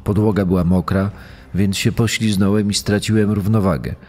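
An actor playing an accused killer giving grave, deliberate, self-justifying testimony in a true crime audio drama. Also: male voice, on the low side, steady tone, mellow, natural voice. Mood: grave, confessing. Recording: long echoing hall reverb.

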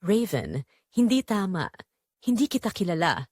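A woman's voice: medium pitch, huge pitch swings, normal speed, darkish tone, no room sound. Woman acting a smitten mother, shy, reluctant, audio drama, varied intonation, dry recording. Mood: troubled, reluctant. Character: hesitant, smitten mother tempted by online romance.

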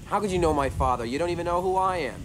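An impatient young trainee, played by a man, restless and questioning, with a lively voice that rises and falls a lot. The line sits in a film soundtrack, with music playing underneath. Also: fairly high pitch, quick pace, lightly acted.

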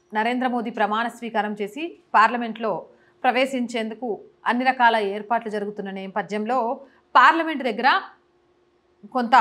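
Brisk, high-voiced woman news presenter. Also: fairly bright tone. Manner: brisk, emphatic newsreader delivery. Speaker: journalist and channel host. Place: small indoor studio. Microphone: lapel mic.

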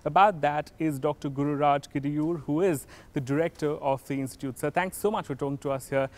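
A man's voice: on the high side, steady tone, fairly quick. Man in his thirties hosting a TV interview. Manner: polite, conversational questioning. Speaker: tv host interviewer. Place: outdoors on campus stairs.

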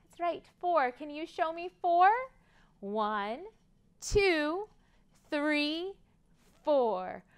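A woman's voice: high-pitched, big pitch swings, drawn-out words, pauses often. An American woman in her thirties leading a children's storytime in a sing-song, exaggerated way for little kids. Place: library room, indoors. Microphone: lapel.

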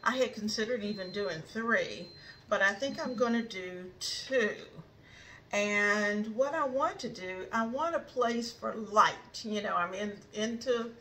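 A woman's voice: mid-range voice, measured pace, sharp tone, a little hiss or hum, rough recording. An older woman hosting a home decor vlog, talking casually and encouragingly as she demonstrates.

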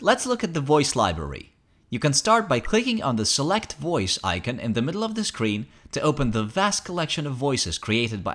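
A man reading a scripted promotional software tutorial in an upbeat, instructional manner. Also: a little high. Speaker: tutorial narrator.

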